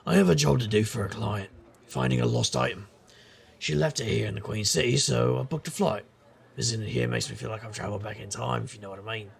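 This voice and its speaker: An actor playing a gruff, world-weary middle-aged private investigator in an audio drama, narrating with wry noir weariness in a gravelly voice. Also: male voice, fairly low pitch, animated, fast talker, exaggerated voice. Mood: casual, evasive.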